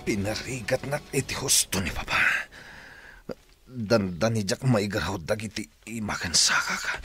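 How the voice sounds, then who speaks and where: An actor with a Filipino accent playing a battered, resentful son, agitated and husky, in a radio drama. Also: male voice, mid-range voice, fairly bright tone. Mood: hurt, bitter. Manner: pleading, agitated, emotional. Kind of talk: Philippine radio drama acting.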